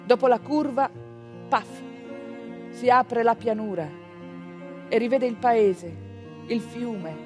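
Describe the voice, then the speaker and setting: A woman delivering a theatrical monologue in a conversational way, with pointed pauses and rhetorical asides. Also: on the high side, a little mellow, soft background music. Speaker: stage actress narrating a monologue.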